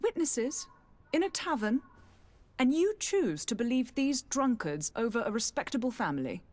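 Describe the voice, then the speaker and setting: A woman's voice: on the high side, very animated, moderate pace, darkish tone. A woman playing a haughty, guarded noble lady in a period fantasy video game, formal and easily indignant. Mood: defensive, indignant.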